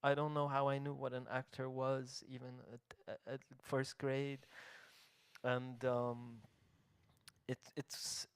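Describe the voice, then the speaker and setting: Smooth-voiced man telling stories reflectively. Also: mid-range voice, completely flat delivery, slow, no room sound, clean studio sound. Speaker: writer and translator. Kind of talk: casual conversation.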